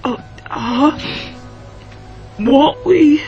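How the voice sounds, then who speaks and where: Woman doing sweet young bisaya mom in radio drama, high voice, slow n hesitant, kinda plaintive. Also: mellow, dull audio, soft background music, heavily acted. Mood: tearful, resigned. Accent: Filipino, Bisaya. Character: gentle, self-sacrificing young single mother. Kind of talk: amateur radio drama acting.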